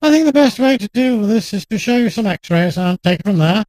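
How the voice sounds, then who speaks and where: A woman acting a grave, frank medical specialist in a radio drama, speaking in a formal, measured and direct way. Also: mid-range voice, animated, darkish tone, no room sound, close to an everyday voice, low-quality recording.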